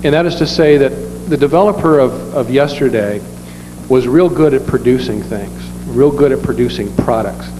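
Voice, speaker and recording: Hoarse man with a cold, developer at a panel, formal and polite, hall reverb, noisy background. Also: medium pitch. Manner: polite and measured, with apologies. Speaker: real estate developer on a panel. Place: lecture hall.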